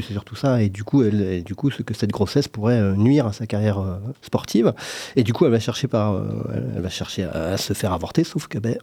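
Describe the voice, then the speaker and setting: A man discussing films casually as a radio co-host, in halting, rambling explanations full of self-corrections. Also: lowish voice, warm tone. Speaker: radio co-host and film reviewer. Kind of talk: casual unscripted film discussion. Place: radio studio.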